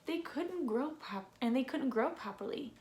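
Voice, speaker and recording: A woman reading a children's storybook aloud expressively, with deliberate phrasing and playful emphasis. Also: sits high, animated, a little mellow. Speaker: read-aloud presenter. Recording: echoey room with faint noise.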